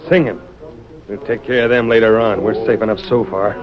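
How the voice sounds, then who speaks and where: An actor playing a surly, hot-tempered outlaw with a blustering rustic western drawl, in old-time radio style. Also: male voice, fairly low pitch, normal speed, a crowd in the background, lightly acted. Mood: smug. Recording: muffled noisy vintage radio broadcast.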